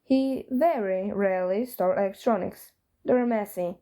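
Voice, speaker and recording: A woman's voice: medium pitch, normal speed, dark tone. A woman reading a story aloud as a scripted narration. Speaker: fan fiction reader.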